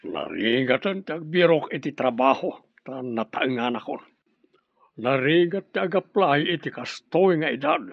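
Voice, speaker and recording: A man's voice: mid-range voice, sing-song, moderate pace, vocal fry, no room sound. A man playing a dutiful, reserved grown son and office worker in a radio drama, with an Ilocano Filipino accent. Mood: earnest, concerned. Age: middle-aged. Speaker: voice actor. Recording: dull lo-fi radio drama audio.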